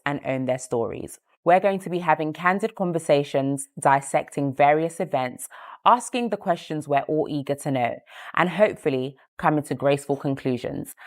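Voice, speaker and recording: A woman, hosting an interview show and teasing her guest with amused laughs. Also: low-pitched.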